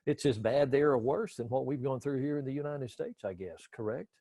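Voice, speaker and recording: A man hosts a casual interview in a folksy, chatty way and teases his guest with easy jokes. His voice sits in a middle pitch. The sound is dry and close. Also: a little fast, warm tone, no echo. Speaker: interview host.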